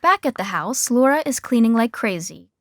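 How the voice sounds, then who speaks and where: A woman's voice: fairly high pitch, sing-song, moderate pace, soft background music. A woman playing the wry narrator of a scripted sitcom.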